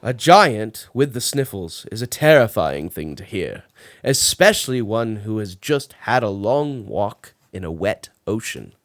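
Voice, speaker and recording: Children's read-aloud narrator: theatrical, very lively pitch swings, unhurried pace. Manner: theatrical, dramatic emphasis. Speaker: storybook reader.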